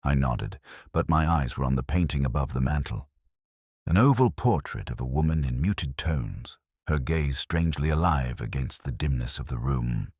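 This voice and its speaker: Male actor as melancholy older detective narrator for radio mystery. Very low, dry close sound, deliberate, with lively melodic movement. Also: slightly rough, no room sound, heavily acted. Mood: brooding, reflective. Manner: measured, brooding, deliberate. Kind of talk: scripted literary mystery narration, radio drama style.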